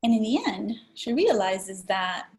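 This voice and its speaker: A woman's voice: medium pitch, expressive, measured pace, a little mellow. A woman in her forties from El Salvador, giving an early-education training presentation in an explanatory, personal manner.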